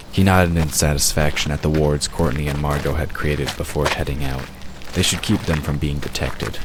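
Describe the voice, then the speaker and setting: A man's voice: very deep voice, background noise. A man narrating a scripted audio drama as its storyteller.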